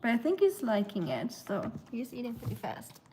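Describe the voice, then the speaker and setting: A woman's voice: a little high, huge pitch swings, moderate pace, dark tone, slight background noise. Chatty German-accented woman with a light voice. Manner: chatty and giggly, coos at her dog. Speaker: livestreamer. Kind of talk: casual livestream chat with viewers. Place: home kitchen.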